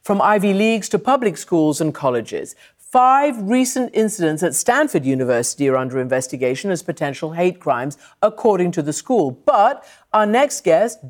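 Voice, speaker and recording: A woman news anchor reading a scripted introduction. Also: fairly low pitch. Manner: lively newsreader delivery.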